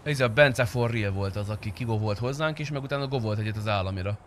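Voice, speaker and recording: A man's voice: lowish voice, slight background noise. Slangy man chatting in a game. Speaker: player in an online role-play game. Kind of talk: casual in-game chat.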